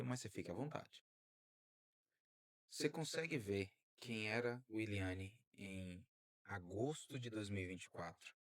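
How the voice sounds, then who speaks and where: A man hosting a podcast interview, talking in a lively way and drifting into preacherly asides. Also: lowish voice. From Brazil. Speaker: podcast host. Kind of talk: casual interview.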